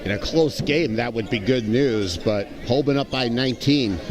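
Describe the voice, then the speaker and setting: A man's voice: medium pitch, normal speed. A man giving color commentary and analysis on a live basketball game. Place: indoor high school gymnasium. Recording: loud gym crowd behind. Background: crowd, bouncing basketballs.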